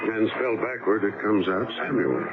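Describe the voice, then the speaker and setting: An actor playing a calm, polite older man who ran away, in 1950s radio drama style. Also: fairly low pitch, normal speed, music in the background, natural voice. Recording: lo-fi phone-like old radio with hiss.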